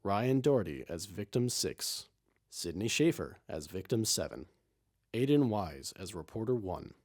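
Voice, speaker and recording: Low-pitched, lively male announcer. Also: a little slow. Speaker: series announcer. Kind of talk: scripted credits announcement.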